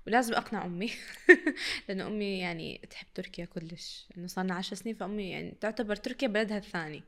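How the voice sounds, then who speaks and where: A woman's voice: mid-range voice, racing, fairly bright tone. A young woman from Iraq with a mixed Syrian and Iraqi Arabic accent, chatting as a podcast guest and laughing often. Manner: laughs often, mixes dialects. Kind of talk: casual interview chat.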